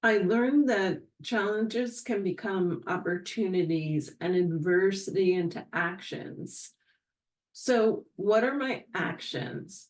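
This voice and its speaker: Slow, deliberate middle-aged woman. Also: fairly low pitch, darkish tone. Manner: deliberate, list-like phrasing. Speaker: host and patient. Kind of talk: reflective speech. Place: home room. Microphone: video call webcam.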